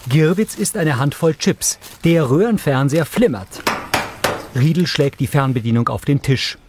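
A man's voice: on the high side, normal speed, noisy background. A man reading scripted scene descriptions as an audio description narrator, matter-of-fact in delivery.

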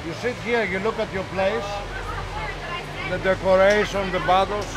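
A man's voice: sits high, moderate pace, low-quality recording. An older man, the owner's father, talking plainly and bluntly in a restaurant, repeating his points. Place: restaurant dining room. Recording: busy crowd chatter behind.

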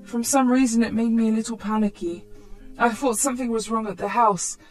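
A woman reading a scripted first-person drama with expressive, acted delivery. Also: on the high side, brisk, soft background music, rough recording. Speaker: story narrator.

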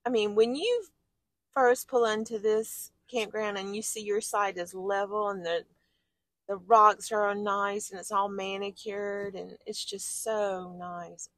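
A woman's voice: mid-range voice, fairly even intonation, measured pace, a bit bright, dry recording. A woman chatting casually and appreciatively as she gives a campground tour as a travel vlogger, folksy and colloquial. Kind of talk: casual chat with a partner.

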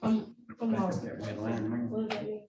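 A woman's voice: mid-range voice, flat delivery, unhurried, lo-fi sound. A woman speaking as a defendant, polite and hesitant as she explains herself. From Georgia, US. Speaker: defendant at hearing. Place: jail room, standing far from camera. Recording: echoey noisy jail room, distant mic.

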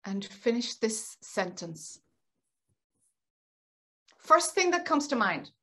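Female webinar host, middle-aged, fairly high voice, informal facilitator style, speaking on a video call from home. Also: big pitch swings, slow, a bit bright. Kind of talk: informal guided group facilitation. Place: home room with bookshelves, indoors.

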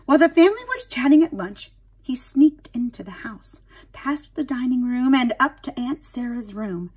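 A woman's voice: fairly high pitch, lively intonation, moderate pace, a little mellow, husky, low-quality recording. A woman narrating a children's storybook expressively, dramatic and addressing young listeners like a teacher.

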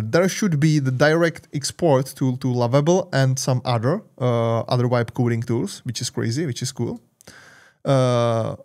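A man in his thirties with a Czech accent, hosting a screen-share software walkthrough in a loose, rambling way with many fillers. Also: mid-range voice. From Czech Republic. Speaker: tech channel host. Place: home studio with purple lights. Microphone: large studio mic on arm.

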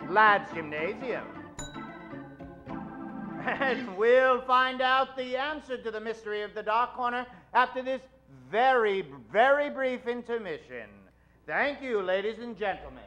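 A man's voice: very high voice, lively intonation, a little slow, bright, forceful tone, faint music behind, low-quality recording. A man hosting and narrating an old-time radio show with theatrical announcer delivery. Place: indoor theatre stage. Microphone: vintage-style stand microphone.

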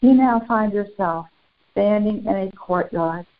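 A woman leading a guided spiritual meditation in solemn, formal, deliberate phrases with long pauses. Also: medium pitch. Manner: solemn, formal, ceremonial wording. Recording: muffled, phone-like narrow band.

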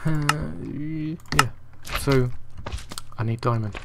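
An English man with an English accent, softly commentating his gameplay and rambling with many ums and uhs. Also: on the high side, drawn-out words, gravelly, very noisy. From England. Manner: quiet, soft-spoken. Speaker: let's play host.

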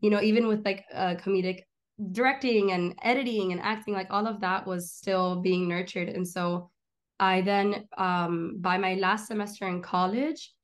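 Chatty young woman on a video call. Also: medium pitch. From born in Miami, raised in Argentina. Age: 20s. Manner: animated, gestures a lot, rapid run-on storytelling. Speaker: guest, comedian and filmmaker. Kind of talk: casual video-call interview. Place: small room at home, indoors. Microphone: laptop webcam on a video call.

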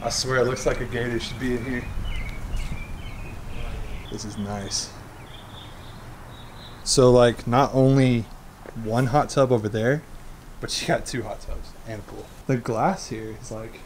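A man's voice: medium pitch, measured pace, long pauses. A young man vloggs his way through an unfinished mansion, talking loosely and laughing as he goes. His voice rings around bare, echoing rooms with a long tail of reverb. Faint noise sits underneath. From Oklahoma. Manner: excited, slangy, laughs often. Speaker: urban explorer vlogger. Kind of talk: casual walkthrough narration. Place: large empty unfinished house, echoing rooms. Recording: very echoey empty rooms.

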